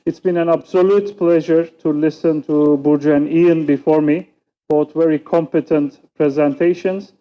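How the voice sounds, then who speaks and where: A man whose first language is Turkish, speaking with a Turkish accent as a panelist giving a measured, reflective conference talk with many pauses. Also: fairly high pitch, fairly even intonation, dull audio. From Turkey.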